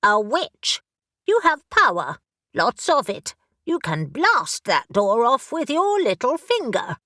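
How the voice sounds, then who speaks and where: A woman's voice: fairly high pitch, very animated, slow pace, bright, forceful tone, no echo, clean studio sound, voice pushed up, full character voice. A woman voicing an impatient talking raven that scolds and commands, in a storybook reading.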